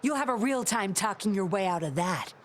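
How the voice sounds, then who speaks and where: An actress playing a cool, sly undercover woman officer in a video game, composed, teasing and smooth-talking. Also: mid-range voice, expressive, brisk, slight background noise, close to an everyday voice. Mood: teasing, commanding.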